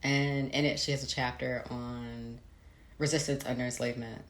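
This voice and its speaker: An American woman from the Midwest being interviewed as an author, explaining conversationally with hesitant pauses and hedges. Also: a bass voice, flat delivery. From Midwestern United States. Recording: roomy echo, faint background noise.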